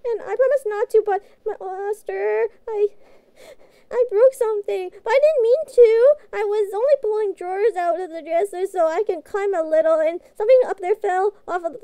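A woman playing a childlike, guilt-ridden catgirl pet in a fantasy audio roleplay, speaking in halting, pleading, naive phrases. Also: extremely high pitch, mellow, no room sound. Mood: tearful, guilty.

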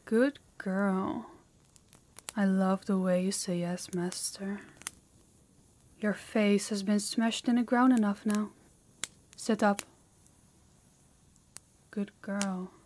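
A woman's voice: medium pitch, steady tone, takes it slowly, long pauses, a little mellow, a little hiss or hum, close to an everyday voice. A woman playing a harsh, dominant demon in an intimate audio roleplay, commanding and menacing, often dropping toward a whisper. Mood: teasing, softer. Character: harsh, dominant female demon training a human captive.

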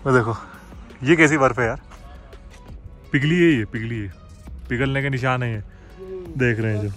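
A man in his thirties narrating a casual, excited travel vlog to his viewers while driving a car along a mountain road. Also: mid-range voice, moderate pace, music in the background. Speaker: travel vlogger.

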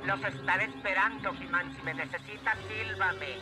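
Un actor con acento latinoamericano que interpreta a un compañero no humano, leal, cortés y formal, en una caricatura doblada. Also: male voice, high-pitched, steady tone, normal speed, lightly acted. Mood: courteous. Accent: Latin American Spanish. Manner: courteous, formal. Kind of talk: dubbed 1980s cartoon acting. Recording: loud music behind dubbed cartoon dialogue.

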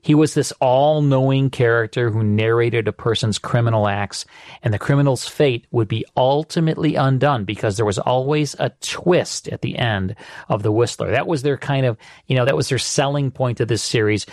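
A man's voice: medium pitch, normal speed, darkish tone, no echo, clean studio sound. An American man hosting a radio show in a conversational presenting and promotional style. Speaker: radio host. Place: studio.

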